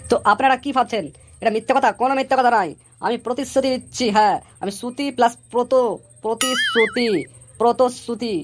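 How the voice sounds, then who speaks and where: A woman's voice: on the high side, fast talker, over music, lightly acted. A woman voicing a boastful, scheming male election candidate in a comic cartoon, speechifying in a blustering, repetitive way. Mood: insistent, boastful.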